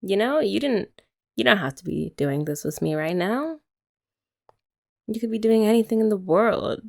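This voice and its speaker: A woman's voice: mid-range voice, expressive, normal speed, vocal fry, darkish tone. A young woman voicing a playful, affectionate girlfriend in an ASMR roleplay, chatting casually with giggles and filler words. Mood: tender.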